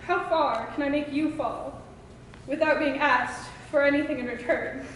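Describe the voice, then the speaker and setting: High-voiced young woman, echoey slam poetry. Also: steady tone. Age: teens. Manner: dramatic, deliberate phrasing. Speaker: student poet. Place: school open mic performance in a reverberant room. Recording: echoey hall with faint noise.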